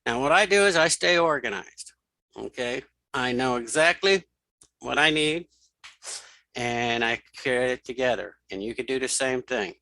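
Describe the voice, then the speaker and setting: Male tutorial host, middle-aged, crisp dry mic, bright delivery, informal step-by-step narration that thinks out loud. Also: medium pitch, lively intonation, a little slow, dry recording. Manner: informal, thinking aloud, repeats key steps. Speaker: tutorial host and web developer. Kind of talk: screen reader software tutorial, talking through steps.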